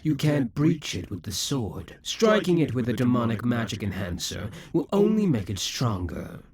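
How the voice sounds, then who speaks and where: A man's voice: medium pitch, sing-song, normal speed, darkish tone, husky. A man playing a brooding, possessive demon king. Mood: exasperated. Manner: brooding theatrical monologue. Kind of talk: audio roleplay addressing the listener.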